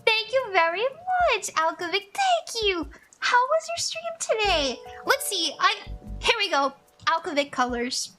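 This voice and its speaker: A woman's voice: extremely high pitch, sing-song, unhurried, pushed and bright, soft background music. A woman psychic chatting casually on a live stream, bubbly and giggly, thanking viewers often. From Hawaii.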